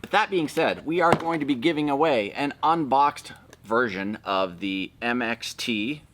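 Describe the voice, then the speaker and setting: A man's voice: medium pitch, lively intonation, unhurried, noisy background. A man hosting a casual product walkthrough, explaining things informally and step by step. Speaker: video host.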